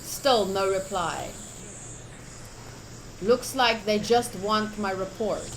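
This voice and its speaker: A woman streaming a game playthrough, chatting casually and reading the text aloud in an expressive, enthusiastic way. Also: mid-range voice, takes it slowly, slight background noise, poor audio. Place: livestream setup.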